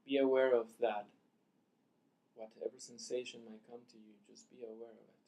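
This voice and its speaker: A man leading a guided meditation, speaking softly and deliberately in short phrases with long pauses, as a teacher giving calm instructions. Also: mid-range voice.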